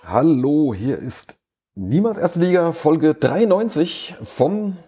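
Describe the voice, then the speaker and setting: A man's voice: on the high side, big pitch swings, takes it slowly, over loud music. A man hosting a casual podcast about his football club, chatty and joking, often breaking off and restarting his sentences. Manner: chatty, self-interrupting, joking. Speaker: podcast host. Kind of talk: casual fan podcast chat. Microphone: remote call.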